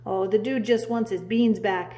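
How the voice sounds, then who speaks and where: A woman's voice: medium pitch, fairly even intonation, normal speed, dark tone, natural voice. A woman voicing a small indignant male Chihuahua in a storybook, emphatic and singsong. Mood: matter-of-fact.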